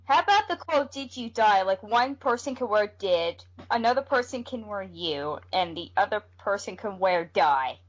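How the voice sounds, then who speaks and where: A woman's voice: mid-range voice, animated, moderate pace, few pauses. A woman co-hosting a podcast in a casual, conversational way. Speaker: podcast co-host. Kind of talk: casual chat. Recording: dull lo-fi podcast audio.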